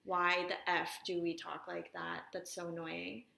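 A young woman with a raspy voice co-hosting a podcast, talking frankly and relaxed in long run-on thoughts. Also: lowish voice, a little slow. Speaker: podcast co-host. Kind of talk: casual chat. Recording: echoey room.

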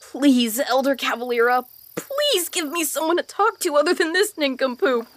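A woman's voice: sits high, sing-song, normal speed, dry recording. A woman voicing a small, cheeky newborn plant boy with a blunt, exasperated manner in a fantasy comedy audio drama. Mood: pleading.

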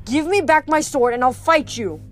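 A woman voicing a defiant young warrior girl in a storybook, blunt and yelling. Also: high voice, animated, normal speed, voice pushed up.

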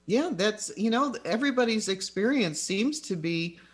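A man's voice: sits high, expressive, normal speed, slightly rough. A man co-hosting a talk show, reading a guest introduction in a friendly, upbeat way. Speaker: co-host.

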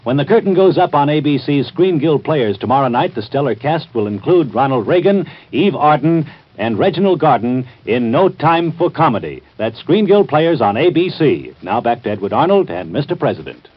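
A dull vintage radio recording of an American man announcing in formal 1940s promotional style. His voice is husky and mid-pitched, with polished, measured phrasing. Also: sing-song.

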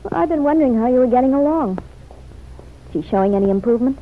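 An actress playing a quick-witted young woman in an old-time radio drama, with a wry, teasing manner. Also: sits high, lively intonation. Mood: curious. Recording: dull, noisy vintage radio recording.

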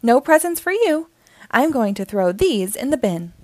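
A woman voicing an indignant, excitable young girl puppy from a cartoon, with an emphatic, huffy childlike delivery. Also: fairly high pitch, normal speed, dry recording, pitched above the performer's own voice. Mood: scolding.

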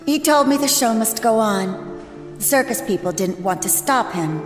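An actress playing a caring young woman who runs a circus, speaking gently and in a businesslike way. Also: on the high side, animated, moderate pace, lightly acted. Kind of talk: audio drama acting. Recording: reverberant with music score.

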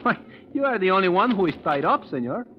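An actor playing a sly, cash-minded Latin American hustler with a Spanish accent, speaking halting, formal English in old-time radio drama style. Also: male voice, sits high, very animated. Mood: sly, cheerful. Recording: dull, noisy old radio recording.

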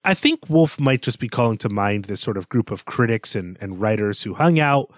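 A man's voice: fairly low pitch, animated, normal speed, no room sound, studio quality. A man co-hosting a podcast, discussing books conversationally in a bookish, analytical way. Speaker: podcast co-host.

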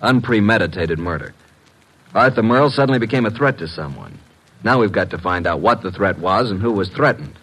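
A brash, excitable detective's legman from an old radio mystery. He has a lowish, husky voice and a slangy, plain-spoken, wisecracking way of talking. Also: fairly quick, exaggerated voice, rough recording. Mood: probing. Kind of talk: classic American radio mystery acting. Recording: old radio drama recording.

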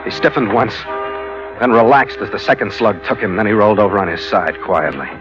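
An actor playing a tough, sardonic ship's skipper in an old radio adventure, with clipped delivery. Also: male voice, fairly low pitch, animated, brisk, lightly acted. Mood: grim narrating. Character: hard-boiled, wry ship's skipper and adventurer. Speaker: lead actor and first-person narrator. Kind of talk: 1940s radio adventure acting and narration. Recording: old muffled radio recording with music.

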